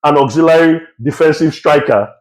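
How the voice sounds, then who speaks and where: A man's voice: fairly high pitch, normal speed. Man emphatically repeating his football catchphrases. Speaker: podcast co-host. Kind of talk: casual football chat.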